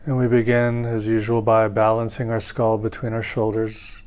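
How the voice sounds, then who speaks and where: A man leading a guided meditation as a teacher, speaking in calm, soothing, measured phrases with pauses between them. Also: on the low side, muffled recording.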